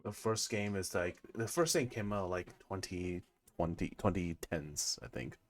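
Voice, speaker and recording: A man's voice: low-pitched, a little slow, faint music behind. A man chatting casually between tabletop players, loose and hedging.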